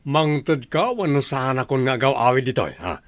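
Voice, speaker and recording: A man's voice: medium pitch, big pitch swings, brisk, no echo, natural voice. An actor playing a selfish, scornful village man in an Ilocano radio drama, with an Ilocano accent. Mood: irritated, demanding.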